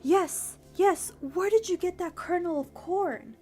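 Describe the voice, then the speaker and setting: A woman voicing a pleading, excitable male serpent god in a folktale, urgent and repetitive. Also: extremely high pitch, a little slow, faint music behind, pitched above the performer's own voice. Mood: excited.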